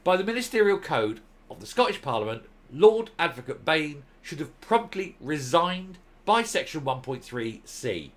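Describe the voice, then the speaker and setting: A male commentary host reading a document aloud and commenting on it, stressing key words with deliberate, emphatic phrasing. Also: a little high, sing-song.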